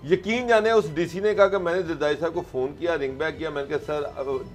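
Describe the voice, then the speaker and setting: A man's voice: a little high, brisk, faint music behind. A man with a Pakistani accent telling anecdotes in a political discussion, insistent and often repeating his phrases.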